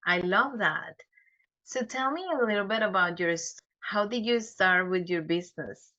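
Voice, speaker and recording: Bright-voiced woman host, dull recording. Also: mid-range voice. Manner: warm praise, halting restarts when asking questions. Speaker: podcast host. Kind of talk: interview. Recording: dull lo-fi podcast audio.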